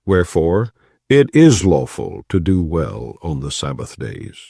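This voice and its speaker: A man giving a solemn, measured reading of scripture in archaic language. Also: low-pitched, huge pitch swings, gravelly, dark tone, husky, no room sound, clean studio sound. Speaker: Bible reader.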